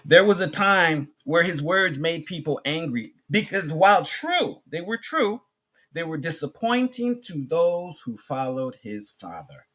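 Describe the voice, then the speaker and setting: Male preacher, slightly high pitch, highly varied intonation, emphatic sermon delivery, short phrases with pauses, rhetorical questions, repetition. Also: very animated, fairly bright tone. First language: English. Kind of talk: sermon, public religious lecture.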